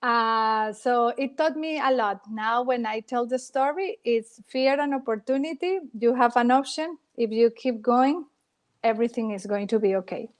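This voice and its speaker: A woman's voice: on the high side, normal speed. A middle-aged woman from Colombia, speaking English with a Colombian Spanish accent as a native Spanish speaker, telling stories expressively in an interview. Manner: expressive, dramatic storyteller. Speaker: guest, former TV reporter. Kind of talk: storytelling interview.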